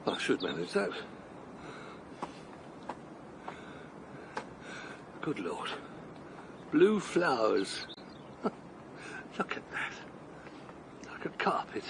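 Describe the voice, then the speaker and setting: Actor as an older rambler, slow-spoken and breathless, faint hiss behind. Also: male voice, fairly high pitch, big pitch swings, creaky, fairly bright tone, exaggerated voice. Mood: wistful. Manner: breathless, wondering, chuckling to himself. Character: Harold Fry, older retired man on a long walk. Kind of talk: radio drama acting.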